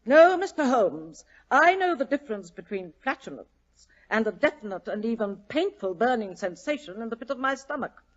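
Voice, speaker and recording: A woman's voice: on the high side, sing-song, moderate pace. An actress playing a vain, imperious, portly middle-aged society lady, grand and melodramatic, in an old-time radio drama. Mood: emphatic, indignant. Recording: dull old radio broadcast recording.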